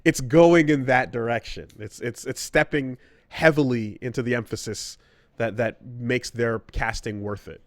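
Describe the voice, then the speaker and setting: A man's voice: medium pitch, a little slow, dry recording, clean studio sound. A man hosting a podcast in casual conversation, thinking aloud and rephrasing as he goes. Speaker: podcast host.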